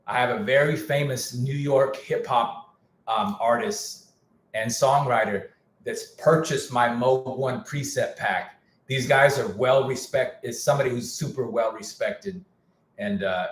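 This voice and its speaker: A man's voice: mid-range voice, measured pace, echoey room. A man who produces music, talking casually on a live stream, slangy and candid, saying he is losing his voice. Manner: candid, slangy, repeats himself. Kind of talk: casual livestream rant.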